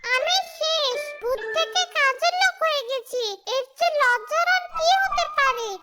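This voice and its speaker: Woman voicing a shrill, proud cartoon tree ghost. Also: measured pace, over music. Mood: despairing. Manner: dramatic, grumbling to herself. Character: proud, exasperated female tree ghost of Bengali folklore. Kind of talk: cartoon voice acting.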